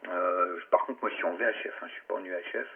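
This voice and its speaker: Low, creaky man on amateur radio. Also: fairly even intonation, fast. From France. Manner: colloquial, salty, muttering asides. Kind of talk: casual radio chat. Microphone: radio transceiver microphone. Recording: narrowband ham radio, light noise.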